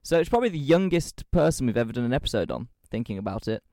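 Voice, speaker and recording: A man's voice: mid-range voice, dry recording. Twenties, male, from England, easygoing podcast co-host, mid-range voice, dry room. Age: 20s. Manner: animated, swearing, quick asides. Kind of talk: casual chat.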